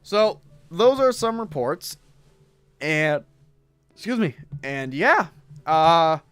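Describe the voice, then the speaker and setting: A hoarse man hosting a podcast stream, reading stories aloud and rambling to his chat. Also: fairly high pitch, big pitch swings, takes it slowly, fairly bright tone, no room sound. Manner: rambling, talks to chat while browsing. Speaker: podcast host.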